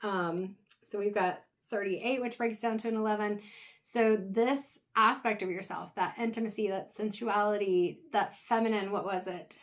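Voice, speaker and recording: A woman reads tarot in a relaxed, wandering way, speaking straight to her viewer as she turns each card. She is losing her voice, so it sounds a little strained and she clears her throat now and then. Her pitch sits in a plain middle range. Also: moderate pace. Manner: meandering, reflective, talks directly to the viewer. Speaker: tarot reader. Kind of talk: casual intuitive tarot reading.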